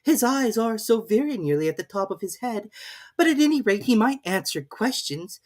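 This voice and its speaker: A curious, well-mannered young girl from a storybook, acted by a woman. Her voice sits high and swings about a lot. She sounds earnest, expressive and quick to get exasperated. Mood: puzzled.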